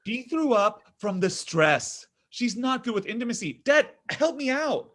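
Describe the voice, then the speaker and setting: A man's voice: extremely high pitch, sing-song, measured pace, a bit bright, exaggerated voice. A man acting a needy, self-dramatizing man in his thirties at a comedy table read, exasperated and argumentative. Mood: pleading. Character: needy, whiny, self-dramatizing thirty-four-year-old son.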